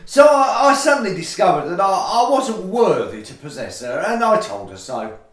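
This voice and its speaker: A man's voice: high-pitched, very animated, moderate pace, a bit bright. Guy playing a touchy pompous victorian gent, stagey farce, voice swoops around, bit roomy. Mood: pompous, confiding. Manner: pompous, mannered, formal. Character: indignant, theatrical Victorian gentleman lodger, pompous and touchy. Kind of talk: stagey comic farce acting for an audio play.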